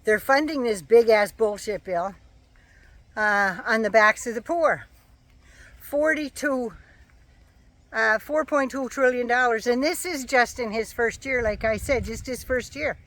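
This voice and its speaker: A woman giving a sarcastic, profane political rant as a vlogger, her voice going hoarse. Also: a little high, measured pace, fairly bright tone, no room sound. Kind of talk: casual ranting monologue.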